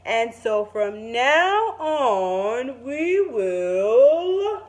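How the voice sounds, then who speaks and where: A woman's voice: fairly high pitch, sing-song, drawn-out words, a little mellow, exaggerated voice. A woman reading aloud as an earnest, proud male school principal in a storybook, declaiming emphatically with stretched-out words. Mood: emphatic announcing.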